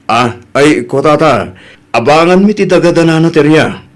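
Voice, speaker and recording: A man's voice: fairly high pitch, animated, moderate pace, natural voice. Man playing an easygoing, polite Ilocano husband. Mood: polite, uneasy. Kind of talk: Ilocano audio drama acting.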